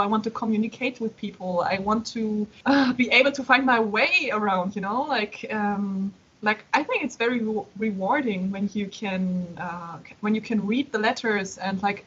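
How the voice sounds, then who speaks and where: A woman's voice: on the high side, a little fast, hardly any pauses, a bit bright, breathy edge. A young woman guest on a podcast, chatting casually and animatedly, full of "like" and "you know". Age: young adult. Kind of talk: casual interview chat. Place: Berlin. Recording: dull lo-fi sound.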